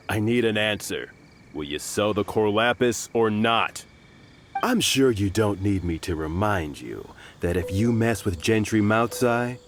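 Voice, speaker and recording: Low, bullying henchman. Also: slight background noise. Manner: bullying, pushy. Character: menacing, impatient henchman of a crooked boss. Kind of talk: video game voice acting.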